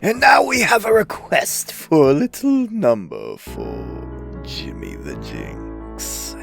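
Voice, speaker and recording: A man's voice: mid-range voice, sing-song, takes it slowly, gravelly, over music, heavily acted. A man playing a theatrical, mocking clownish villain in a comic dub, taunting like a showman. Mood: gleeful menace.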